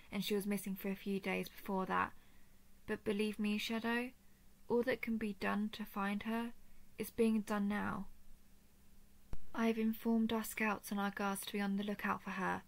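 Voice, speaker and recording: A woman voicing a gentle, protective female dragon in a scripted roleplay audio drama, soft and soothing with formal, measured diction. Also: medium pitch, gravelly, natural voice. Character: gentle, protective female dragon, earnest empathic royal daughter.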